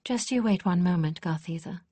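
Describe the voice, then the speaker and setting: A forthright noblewoman who once served, as a female narrator reads her, firm and sharp-edged. The reading is close and dry. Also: on the low side, fairly quick, slightly rough, no room sound.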